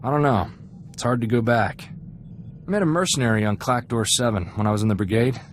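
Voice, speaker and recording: A man's voice: medium pitch, brisk, close to an everyday voice. An actor playing an earnest, idealistic young freighter crewman in a video game, talking in a folksy, chatty way. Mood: reflective, sincere. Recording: clear background ambient noise.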